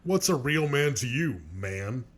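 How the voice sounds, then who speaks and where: Man playing an animated, aggrieved cartoon loudmouth. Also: medium pitch, measured pace. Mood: doubtful, sulky. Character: aggrieved, defensive male loudmouth in a feud. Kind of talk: scripted comic argument in web animation.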